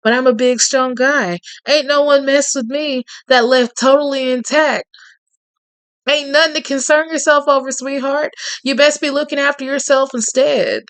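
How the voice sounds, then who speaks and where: A woman voicing a confident, flirtatious Cajun man from the South, with a husky drawl and a quietly threatening edge. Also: sits high, steady tone, moderate pace, no room sound. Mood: menacing.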